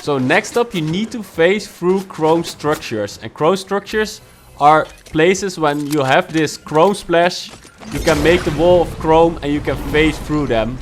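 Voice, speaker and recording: A man walks viewers through game challenges step by step, in a steady, instructive tone. His voice sits slightly high, with a faint hiss of noise behind it. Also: a little slow. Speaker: gaming guide youtuber. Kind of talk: game walkthrough commentary.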